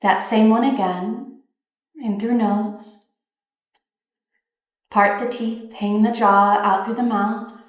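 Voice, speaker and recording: A woman leading a guided meditation, giving gentle, soothing instructions in an unhurried way with pauses. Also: mid-range voice, fairly even intonation. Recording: very echoey, reverberant room.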